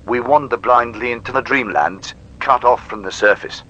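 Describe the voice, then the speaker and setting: Courteous male machine, done by a man, erudite, formal phrasing, bright forceful tone, muffled radio filter, horror audio drama. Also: mid-range voice, moderate pace, a little hiss or hum. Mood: solemn, explanatory. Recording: dull, radio-like band-limited sound.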